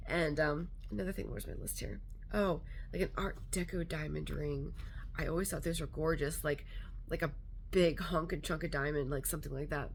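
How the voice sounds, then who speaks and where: A woman vlogger chatting casually to camera, congested and a little nasal, rambling with lots of fillers. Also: sits low.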